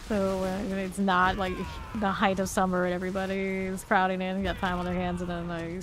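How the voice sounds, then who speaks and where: A woman's voice: mid-range voice, a little hiss or hum. Brief: rambling, laughing woman game streamer, ordinary mid-range voice, chatty aside while she plays. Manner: chatty, rambling, laughs easily between callouts. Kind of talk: casual chat while playing a game live.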